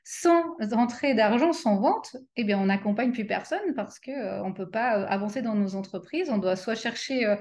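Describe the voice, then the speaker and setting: A woman's voice: a little high, moderate pace, hardly any pauses, darkish tone. A middle-aged woman hosting a casual interview, speaking with many fillers and restarts. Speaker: interview host and coach.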